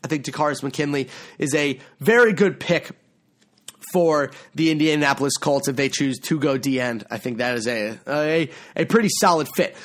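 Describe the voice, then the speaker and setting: A man's voice: fairly high pitch, a bit bright. A young man hosting a solo football mock draft podcast, rambling and correcting himself, his voice a little worn. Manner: rambling, self-correcting, enthusiastic. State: losing his voice. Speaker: podcast host.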